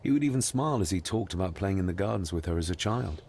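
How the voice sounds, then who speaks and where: An actor playing an earnest, polite and inquisitive young gentleman heir of the 1920s in a video game. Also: low-pitched, animated, quick pace, slight background noise. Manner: polite, questioning. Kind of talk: dramatic game cutscene dialogue.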